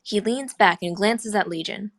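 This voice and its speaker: Woman narrator reading script action lines at a table read, mid pitched voice. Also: moderate pace, a bit bright.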